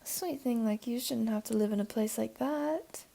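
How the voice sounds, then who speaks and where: Casting: woman to play teasing, doting jaguar girl for ASMR roleplay; soft, mellow, whispery, slightly high voice. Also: normal speed. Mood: concerned, inviting. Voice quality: soft, breathy, often whispery. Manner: teasing, cooing, soothing. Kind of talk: ASMR roleplay, intimate one-to-one.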